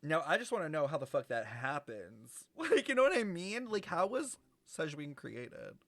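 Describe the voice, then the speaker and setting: A man's voice: a little high, big pitch swings, moderate pace. A young man livestreaming, chatting casually and expressively with his viewers as he reacts to a game, in slangy speech. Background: game music.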